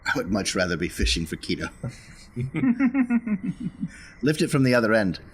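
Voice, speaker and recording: A man's voice: fairly low pitch, huge pitch swings, moderate pace, low-quality recording. A man talking casually as a player in a tabletop roleplaying game. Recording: clear background noise.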